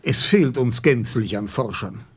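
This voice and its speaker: A pompous old geographer in a radio play. The voice is husky and slow, with big swings in pitch. Every statement comes out pedantic and full of self-importance. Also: male voice, expressive. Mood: self-important.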